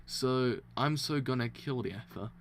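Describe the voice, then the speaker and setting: A man reads comic pages aloud on a live stream at a desk mic, stumbling and restarting, then whooping and laughing when the story gets exciting. His throat sounds worn, and his voice sits at a middling pitch. Faint background noise runs under him. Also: moderate pace. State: strained throat. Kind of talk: live reading and reaction.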